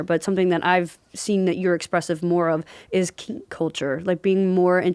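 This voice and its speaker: A woman's voice: fairly low pitch, a little mellow, no room sound. A woman hosting a podcast in casual conversation, enthusiastic and affirming, leaning on "I feel like" and "you know". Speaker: podcast host.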